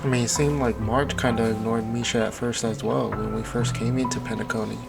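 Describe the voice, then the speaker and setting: A woman comments casually on a game in a halting, musing way. Her voice is extremely low with a gravelly fry. Game music is clearly audible behind her. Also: fairly even intonation.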